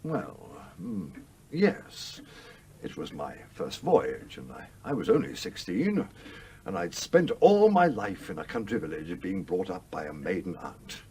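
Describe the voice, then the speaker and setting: An actor playing the irascible, roguish ghost of a sea captain in a radio play, blustering and commanding. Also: male voice, low voice, huge pitch swings, unhurried, a little mellow, slightly rough, full character voice. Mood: reflective. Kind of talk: British radio play acting.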